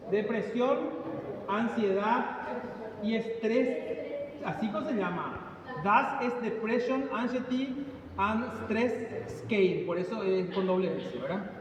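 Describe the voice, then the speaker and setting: A man's voice: sits high, lively intonation, takes it slowly, pauses often, crowd noise. Man teaching in an echoey classroom. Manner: explains step by step, asks the class questions. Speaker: psychometrics teacher. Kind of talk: classroom lecture. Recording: distant echoey classroom recording with background noise.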